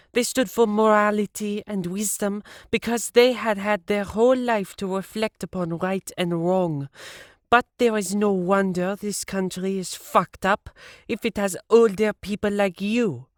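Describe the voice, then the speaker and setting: A man voicing a furious, combative young woman who scolds and threatens in a crude, shouting way. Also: sits high, no room sound, studio quality, voice pushed up.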